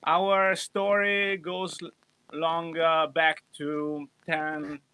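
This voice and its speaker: A man's voice: fairly high pitch, level tone, drawn-out words, pushed and bright, clean tone. A man from Italy, a guitarist, chatting loosely on a livestream and joking at his own expense. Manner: rambling, self-mocking, chuckling. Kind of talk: casual livestream chat.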